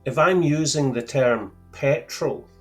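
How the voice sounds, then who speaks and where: A man with a Scottish accent explaining fuel terms to camera in a careful, deliberate way, with a lightly wry touch. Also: medium pitch, soft background music. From Scotland. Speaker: outdoor gear channel host. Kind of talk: measured explanatory talk to camera.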